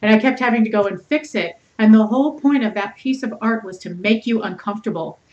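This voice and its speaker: A woman with a mezzo-soprano voice, hosting an interview podcast, animated, laughing often and speaking bluntly with swearing. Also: fairly high pitch, brisk, highs cut off. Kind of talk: casual interview chat.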